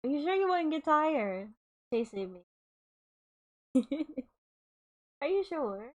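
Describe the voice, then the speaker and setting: High-voiced, playful young-sounding woman streamer. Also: big pitch swings, slow pace, lo-fi sound. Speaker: streamer reading a light novel aloud. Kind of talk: casual livestream readalong and chat.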